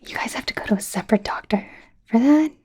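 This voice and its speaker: A woman voicing an awkward, gentle werewolf doctor in a hesitant, breathy whisper for an ASMR roleplay. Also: a little high, expressive. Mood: bemused, curious. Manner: hesitant, halting, softly reassuring. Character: awkward, curious young female werewolf doctor, gentle and apologetic. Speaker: ASMR performer.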